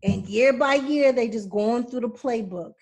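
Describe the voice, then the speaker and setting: A woman's voice: on the high side, a little slow, darkish tone, husky. A woman from Pittsburgh hosting a podcast, talking in a casual, teasing, affectionate way. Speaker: podcast host.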